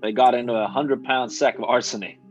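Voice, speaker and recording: An actor playing an exasperated, middle-aged veteran quartermaster from farm country in an American radio drama, folksy and plain-spoken. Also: male voice, mid-range voice, steady tone, fast, faint music behind, natural voice. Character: exasperated veteran Kansas grain-elevator man turned quartermaster. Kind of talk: golden age American radio drama acting. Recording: dull lo-fi radio recording.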